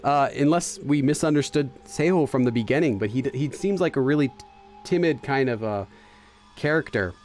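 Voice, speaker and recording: A man's voice: medium pitch, expressive, fairly quick, a little mellow, soft background music. A man hosting a gaming channel, thinking aloud in casual let's-play commentary and hesitating with fillers and restarts as he translates for himself. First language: English.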